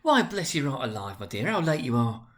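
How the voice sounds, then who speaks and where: A man's voice: medium pitch, big pitch swings, a little fast, a bit bright. A man voicing a fussing, affectionate working-class mother in a storybook, with a bustling way of talking.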